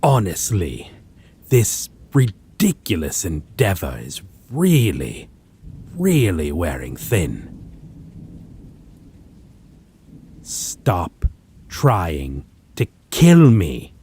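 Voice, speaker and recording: A man playing a possessive, menacing vampire in a dramatic audio roleplay, speaking in a theatrical, condescending manner with a husky, breathy voice. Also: low voice, huge pitch swings, warm tone, full character voice. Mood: exasperated, sardonic. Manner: slow, theatrical, archaic wording, condescending endearments. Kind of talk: dramatic audio roleplay acting addressed to listener.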